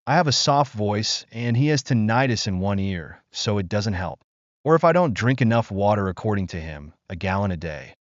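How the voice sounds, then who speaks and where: A man narrating a first-person relationship story from an online post in a steady, even reading voice. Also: lowish voice, moderate pace, no room sound. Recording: dry, slightly dull lo-fi sound.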